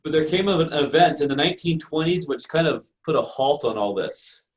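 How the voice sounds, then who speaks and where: An American man lecturing to a class as a university instructor, in a halting way padded with frequent filler phrases. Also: fairly high pitch, expressive. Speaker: university lecturer in special education.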